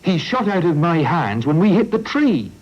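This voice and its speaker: A man's voice: fairly high pitch, very animated, moderate pace, voice pushed up. An eager young taxi driver, put on by a man, chatters cheekily in a raised voice. His tone leaps around, and the recording is dull and lo-fi. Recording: lo-fi old recording.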